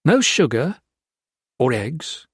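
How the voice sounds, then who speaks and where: A man's voice: mid-range voice, very animated, takes it slowly, husky, no echo, clean studio sound, full character voice. A man voicing an ill male prisoner who speaks with defiance and seething threats. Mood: defiant. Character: gaunt, ill male prisoner, coldly vengeful.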